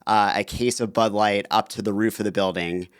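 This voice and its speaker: A man's voice: lowish voice, steady tone, normal speed, clear, resonant tone, dry recording, studio quality. A man in his thirties, a podcast guest telling stories in a chatty, casual way, with lots of "like" and "you know". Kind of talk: casual interview chat.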